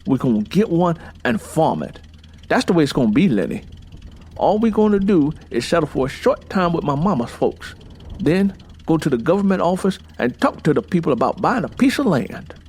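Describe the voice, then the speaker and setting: An actor playing a stubborn, plainspoken young Southern husband in an audio drama, folksy and insistent, with a Southern US accent. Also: male voice, a little high, sing-song, moderate pace, a little mellow, breathy edge, exaggerated voice. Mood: determined, dismissive. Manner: folksy, insistent, long-winded.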